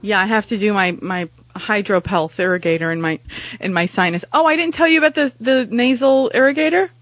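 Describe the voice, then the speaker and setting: A woman's voice: mid-range voice, brisk, fairly bright tone, dry recording. A woman in her thirties hosting a casual talk show, sounding nasal after just waking up, rambling and crude with lots of fillers. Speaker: podcast host.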